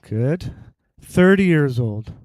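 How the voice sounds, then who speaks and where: Man giving a lively conference health talk. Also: fairly high pitch, slow, no echo. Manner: engaging, quizzes the audience, uses analogies. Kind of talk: live conference talk to an audience.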